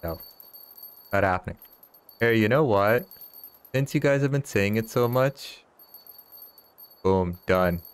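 Laid-back, unhurried man in his thirties. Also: lowish voice, lively intonation, clear, resonant tone, no room sound. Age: 30s. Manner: chuckles often, teasing banter with chat. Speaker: streamer. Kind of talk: casual live-stream chat while gaming.

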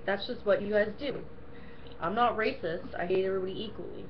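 A woman's voice: mid-range voice, fast talker, lo-fi sound, slight background noise. A young woman co-hosting a casual rant, cutting in with blunt, sarcastic one-liners. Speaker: co-host. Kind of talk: casual ranting chat.

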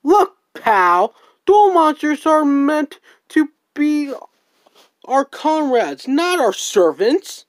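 A woman's voice: high-pitched, very animated, extremely slow, no echo, clean studio sound, exaggerated voice. Woman doing a brash young hero duelist. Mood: defiant.

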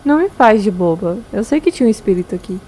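A woman's voice: mid-range voice, animated, quick pace, mellow, slight background noise, lightly acted, rough recording. An actress playing a caring, anxious young pregnant woman who stammers and hesitates in an audio drama.

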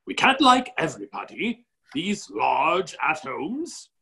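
A woman performs an absent-minded, good-natured duke in a stage play reading. The voice is low and slow, swinging wildly up and down as it rambles and repeats itself. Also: sing-song, creaky, sharp tone, heavily acted. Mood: muddled.

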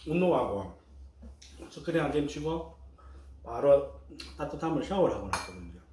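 A man's voice: mid-range voice, very animated, moderate pace, mellow. Man chatting over a meal, echoey room. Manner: talks in short remarks between bites. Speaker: mukbang vlogger. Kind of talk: casual eating commentary. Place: small room at home, indoors. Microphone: camera across the dining table. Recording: echoey room, faint background noise.

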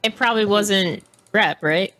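A woman's voice: mid-range voice, a little slow, a bit bright. A woman talking casually with other players in an online game. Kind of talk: casual group game chat.

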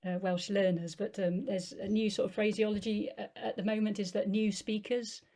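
A middle-aged woman hosts an online seminar over a video call. She speaks hesitantly at a middling pitch, with plenty of fillers as she works toward her point. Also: little pitch movement, normal speed, mellow. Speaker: seminar host.

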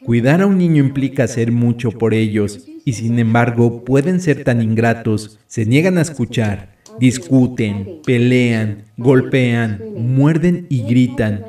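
A man's voice: mid-range voice, measured pace, few pauses, mellow, distant mic, lightly acted. A man voicing a self-righteous psychopathic killer in a grave, sermonizing manner for a horror story. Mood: grave preaching. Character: self-righteous psychopathic killer preaching about ungrateful children.

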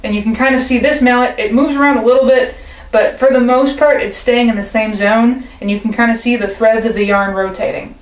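A young woman calmly and patiently explaining a percussion technique, recorded at home by a camera a few feet away. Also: fairly high pitch, fairly quick, roomy sound, poor audio. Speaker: percussion teacher. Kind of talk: instructional demonstration. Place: living room at home.

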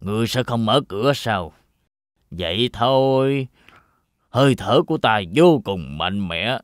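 Sly fairy tale villain wolf , male actor: threatening drawl, very slow, dry close sound. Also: mid-range voice, animated, clear, resonant tone, no echo, lightly acted. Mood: menacing. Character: sly, menacing male big bad wolf. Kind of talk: children's fairy tale cartoon dub.

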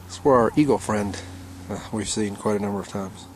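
Halting American man outdoors by a creek. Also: on the low side, a little hiss or hum. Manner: relaxed, halting, many "uh" fillers. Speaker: vlogger narrating his canoe outing. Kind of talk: casual outdoor narration.

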